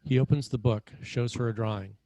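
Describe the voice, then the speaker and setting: A man's voice: mid-range voice, fairly even intonation, brisk, warm tone, no echo, poor audio. A man narrating screenplay scene headings and action in a scripted read.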